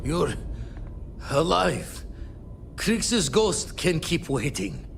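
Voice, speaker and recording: An actor playing a wounded, stoic space adventurer in a video game, weary, with clipped and florid wry phrasing. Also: male voice, on the high side, sing-song, very slow, creaky, a little hiss or hum, heavily acted.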